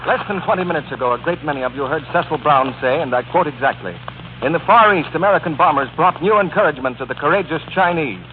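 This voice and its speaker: A man's voice: on the high side, expressive, fast. A man announcing on 1940s radio, delivering an earnest, rhetorical scripted appeal straight to the listeners. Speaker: radio announcer reading a war bond appeal. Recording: tinny, noisy 1940s radio broadcast.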